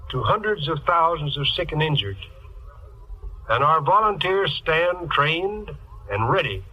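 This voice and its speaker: A man giving a formal, deliberate scripted appeal in the manner of a 1950s radio address, on an old broadcast recording. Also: a little high, bright, forceful tone, breathy edge, low-quality recording. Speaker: charity official making a public appeal.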